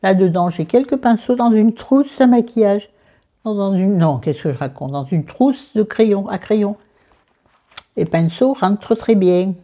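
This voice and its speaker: A woman's voice: medium pitch, lively intonation, a little slow, highs cut off. A middle-aged woman with a southern French accent, chatting casually and self-mockingly about her makeup and skincare. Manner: chatty, self-mocking, talks to viewers and friends by name. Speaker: beauty vlogger.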